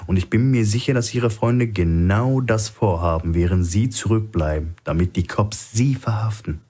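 A man's voice: on the low side, normal speed, mellow, breathy edge. A man voicing a shrewd, defiant male hostage in a thriller audiobook, insistently reasoning to provoke his captor. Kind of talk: audiobook character acting.

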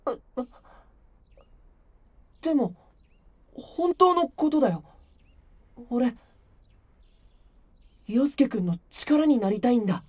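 A high, breathy-edged voice of a gentle teenage boy idol. He is hesitant and sincere, with swooping, expressive lines in the manner of a Japanese anime audio drama. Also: takes it slowly, long pauses, exaggerated voice. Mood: earnest, pleading. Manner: soft, hesitant, sincere delivery. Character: gentle, earnest, shy teenage male idol devoted to friend.

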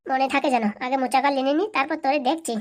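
A bossy village matriarch in a comic cartoon, acted by a woman. Her voice is high and races along at breakneck speed. She blusters, boasts and threatens like someone used to being obeyed. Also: fairly even intonation, fast talker, natural voice. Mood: threatening.

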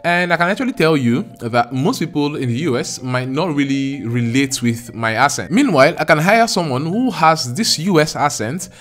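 A young man with a Nigerian accent, explaining step by step in an earnest, emphatic vlog to camera. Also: medium pitch. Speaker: YouTube creator. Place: small home studio room. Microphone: large studio mic close in front.

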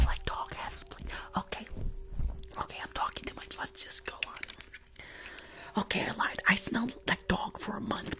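A young woman playing a rude, weary school nurse in an ASMR roleplay, grumbling in a breathy whisper close to the mic. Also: on the high side. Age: young adult. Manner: sassy, grumbling, rambling. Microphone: close foam-covered microphone on desk.

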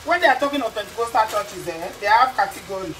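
A woman's voice: medium pitch, animated, quick pace, a bit bright, rough recording. A middle-aged woman from Nigeria with a Nigerian accent, cooking in her home kitchen while talking passionately to the camera, asking rhetorical questions and repeating herself for emphasis. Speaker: vlogger. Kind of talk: cooking vlog with social commentary. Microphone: camera on the counter. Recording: frying noise behind voice.

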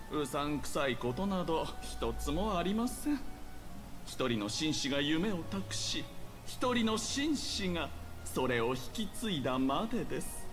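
A slick young male hotel owner from an anime game, pitched high and swooping with showy politeness. He delivers unctuous sales patter over game music. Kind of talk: Japanese anime game voice acting.

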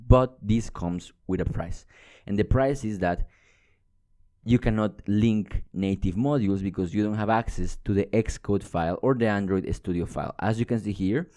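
A young man with a low, mellow voice teaches coding in a screencast. He explains things informally, like an instructor talking a student through steps at the computer. The tone is soft-edged and dark, recorded close to the mic. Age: young adult. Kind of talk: casual screencast tutorial. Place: indoors at a computer.

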